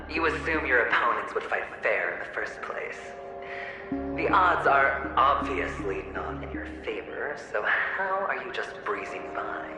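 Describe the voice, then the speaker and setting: Sly mocking game villain, theatrical n breathy at times, super echoey w loud music. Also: male voice, medium pitch, big pitch swings, a little slow. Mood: amused scorn. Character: taunting, theatrical male inner tormentor needling a gambler. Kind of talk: dramatic video game voice acting.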